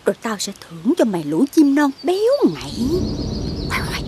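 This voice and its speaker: A woman voicing a sneaky, spiteful servant creature in an animated fairy tale, excitable, fawning and conniving. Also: mid-range voice, normal speed, noisy background, natural voice. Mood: scheming, annoyed.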